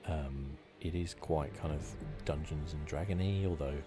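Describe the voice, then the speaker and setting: A man giving casual ASMR commentary on a game playthrough in a hushed, rambling, musing manner. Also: very deep voice, normal speed, over music. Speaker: gaming video host.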